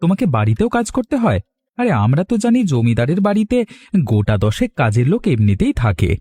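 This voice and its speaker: A man's voice: mid-range voice, very animated, fast talker, warm tone, no echo. A man playing a poor, hardworking young farmer in a Bengali cartoon, humble, plain-spoken and resigned. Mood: skeptical, plain-spoken.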